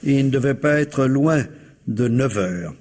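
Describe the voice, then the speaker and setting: A man's voice: mid-range voice, animated, measured pace, a little mellow. An actor playing a reserved, courteous retired civil servant in his sixties in a French radio mystery, hesitant, formal and precise. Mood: formal, careful. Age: older.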